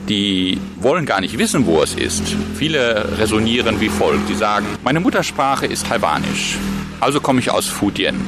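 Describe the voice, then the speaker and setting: Man, professor, deep-ish voice, lecturing manner, interview outdoors, slight background hum. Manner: lecturing, thinks aloud with frequent fillers and restarts. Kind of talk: explanatory interview talk.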